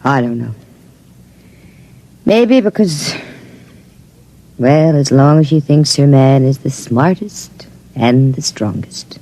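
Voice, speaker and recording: Low, mellow, jittery gangster's moll. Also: female voice, animated, takes it slowly, long pauses, full character voice, low-quality recording. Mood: wary, guarded. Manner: nervous, guarded. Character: jittery, wary gangster's moll, uneasy and evasive. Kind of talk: old-time radio drama acting.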